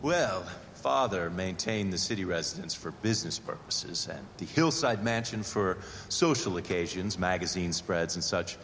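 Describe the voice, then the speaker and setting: An actor playing a wealthy, courteous, slightly smug heir in an audio drama, with a polite, refined, airy manner. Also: male voice, low voice, animated, normal speed, hardly any pauses, fairly bright tone. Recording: long echo of big hall, ambient noise.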